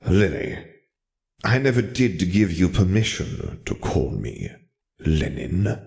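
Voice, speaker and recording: Man voicing a low, bitter, arrogant dark wizard. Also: big pitch swings, slow, creaky, exaggerated voice. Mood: cold, exasperated. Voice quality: soft, commanding. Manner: ranting, scornful, articulate. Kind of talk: audiobook character voice.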